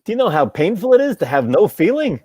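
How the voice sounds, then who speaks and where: A woman's voice: mid-range voice, sing-song, quick pace, warm tone, slightly rough, no room sound, studio quality. A woman acting a sickly, self-pitying hypochondriac who groans and sighs through a play table read. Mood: weary complaint. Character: sickly, complaining hypochondriac with failing nerves.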